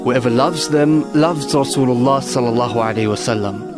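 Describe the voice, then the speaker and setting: A man's voice: mid-range voice, a little fast. Solemn male narrator over music. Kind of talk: scripted, solemn religious narration.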